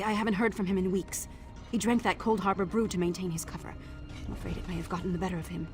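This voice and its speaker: An actress playing a wry, guarded undercover agent in a video game, with a dry, clipped delivery. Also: female voice, medium pitch, close to an everyday voice. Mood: businesslike concern. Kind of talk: video game character acting. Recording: prominent music behind the voice.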